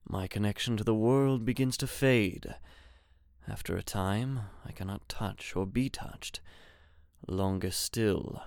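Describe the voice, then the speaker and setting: A woman voicing a haughty, brooding ancient male genie who talks slowly and solemnly with scorn. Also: very deep voice, creaky, darkish tone, dry recording, clean studio sound, pitched below the performer's own voice, heavily acted. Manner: slow, solemn, disdainful.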